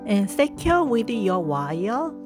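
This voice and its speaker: A woman's voice: mid-range voice, very animated, takes it slowly. A woman with a thick Korean accent, hosting a craft tutorial and giving short step-by-step directions in simple non-native English. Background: soft piano music.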